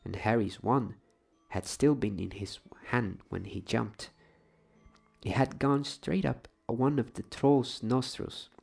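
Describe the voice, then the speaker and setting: A man reading a story aloud in a soft, hushed, breathy ASMR style, slipping at times into whispers. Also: mid-range voice, slow pace.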